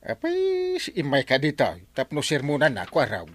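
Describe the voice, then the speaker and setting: Flustered Ilocano village captain, wildly swooping voice. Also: male voice, on the high side, huge pitch swings, normal speed, fairly bright tone. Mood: irritated. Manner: stammering, blustering, exclamatory. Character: flustered, excitable village captain, comic husband. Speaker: village captain in a comedy radio drama. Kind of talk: broad comedy radio drama acting.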